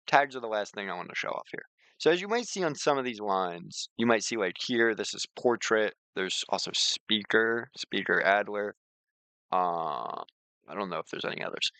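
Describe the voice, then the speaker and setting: A man streaming a casual software walkthrough, explaining things in an informal, rambling way. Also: lowish voice, no room sound. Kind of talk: casual screen-share walkthrough and chat.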